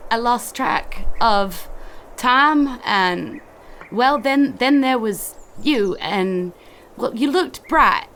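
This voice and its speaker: A woman voicing a very old, lost and befuddled wandering woman in a tabletop game, halting and stammering as she talks. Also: medium pitch, fairly bright tone, a little hiss or hum. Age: elderly. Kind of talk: tabletop roleplay character voice.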